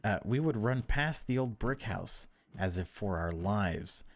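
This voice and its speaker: Slow, low-voiced man reading a story. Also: animated, slight background noise. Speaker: gaming video host reading a visual novel aloud. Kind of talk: narrated reading of a horror visual novel with casual asides.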